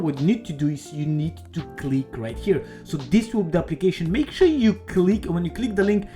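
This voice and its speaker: A man's voice: a little high, a little mellow. A man walking viewers through a crypto game on screen, eager and rambling, with non-native English phrasing and constant "okay"s. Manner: eager, rambling, peppered with "okay" and "all right". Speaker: crypto YouTuber. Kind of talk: casual screen-share walkthrough.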